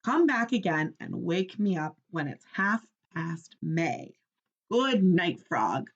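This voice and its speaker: A woman's voice: fairly low pitch, big pitch swings, takes it slowly, lo-fi sound, full character voice. A woman voicing a sleepy, grumpy male toad in a children's storybook, with a weary, reluctant drawl. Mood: drowsy. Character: sleepy, grumpy male toad who wants his bed.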